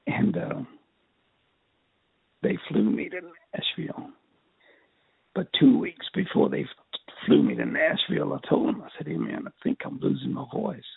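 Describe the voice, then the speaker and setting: An older man from Texas with a raspy, strained voice, telling his life story in an interview in a halting way, repeating words. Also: fairly high pitch, expressive. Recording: tinny phone line.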